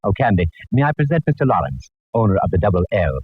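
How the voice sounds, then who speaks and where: An actor playing a fussy, genteel and affectionate photographer sidekick in a 1940s radio mystery, with florid, precise phrasing. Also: male voice, on the low side, very animated, quick pace, husky, dry recording. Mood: courtly. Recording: thin, phone-like old radio recording.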